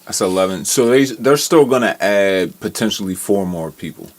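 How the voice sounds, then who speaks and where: A man's voice: lowish voice, expressive, normal speed. An American man co-hosting a casual sports podcast in a studio, talking in a teasing, slangy, profane way. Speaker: podcast co-host.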